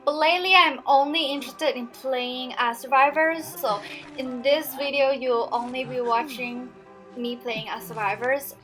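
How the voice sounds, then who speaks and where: A woman's voice: sits high, fairly even intonation, unhurried, a bit bright. Cantonese-accented young woman over game music. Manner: chatty, with fillers and restarts. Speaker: gaming vlogger. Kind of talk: casual storytime over gameplay.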